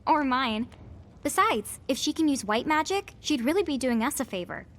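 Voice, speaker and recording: A woman's voice: sits high, animated, moderate pace, a bit bright. A woman voicing a polite, sensible teenage girl adventurer in a video game. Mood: polite, upbeat. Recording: game music playing behind.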